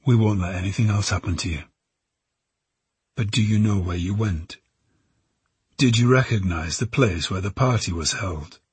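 Audiobook police inspector read by a male narrator: calm, gentle, soft-spoken questioner with a very breathy, dry-recorded voice. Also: fairly low pitch, a little slow, no echo. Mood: gentle, reassuring. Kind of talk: audiobook character voice.

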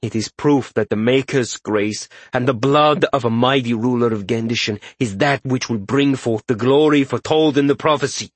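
A man's voice: mid-range voice, moderate pace, few pauses, dry recording, close to an everyday voice. A man voicing a proud, fanatical middle-aged king in a fantasy audiobook, speaking formally and with imperious emphasis. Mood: stern, fanatical.

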